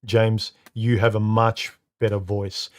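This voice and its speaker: A man with a deep, slightly muffled voice hosts a live stream from home. He speaks casually and haltingly, often repeating a word before he finds his sentence. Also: level tone. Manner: halting, repeats words, many uh and um fillers. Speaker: live stream host reading comments. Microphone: studio microphone.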